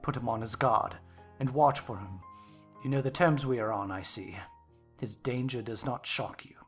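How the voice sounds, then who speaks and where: A woman's voice: rumbling low, moderate pace, faint music behind, pitched below the performer's own voice. A woman voicing a grim, surly country master, brooding and vengeful, growling with menace in a dramatized novel reading. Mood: grim, bitter.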